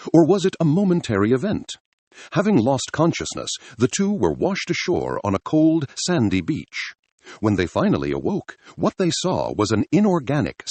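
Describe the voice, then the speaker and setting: A man's voice: medium pitch, darkish tone, husky, no echo. A man narrating a scripted adventure story in a dramatic style.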